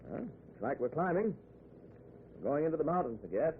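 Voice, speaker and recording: An actor playing a friendly, talkative driver in a breezy, nosy manner, in 1940s radio drama style. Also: male voice, a little high, very animated, fairly quick. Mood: businesslike. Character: chatty, friendly middle-class man driving at night. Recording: tinny, noisy old radio recording.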